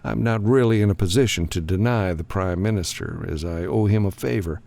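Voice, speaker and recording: A man's voice: low-pitched, brisk, vocal fry, dark tone, pitched above the performer's own voice. A man voicing a grim, commanding government lawman in an audiobook, giving a frank, businesslike briefing. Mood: businesslike, firm.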